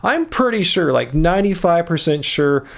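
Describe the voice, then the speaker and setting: Earnest American man with a gravelly voice. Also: fairly high pitch, big pitch swings, normal speed. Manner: earnest and explanatory, with frequent restarts. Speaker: vlogger. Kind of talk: casual vlog talk to camera.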